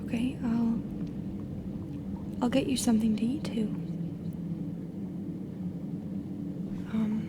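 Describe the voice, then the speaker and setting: A woman voicing a lonely, confused, gentle drider in a roleplay ASMR monologue, speaking softly and haltingly, often in a breathy whisper. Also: a little high, steady tone, natural voice. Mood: flustered, hesitant. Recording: clearly loud background noise.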